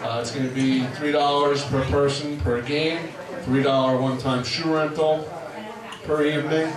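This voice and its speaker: A man's voice: fairly high pitch, little pitch movement, normal speed. A man giving casual event announcements at a group meeting, with many fillers. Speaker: event organizer. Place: meeting hall. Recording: echoey hall from far off. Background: crowd noise.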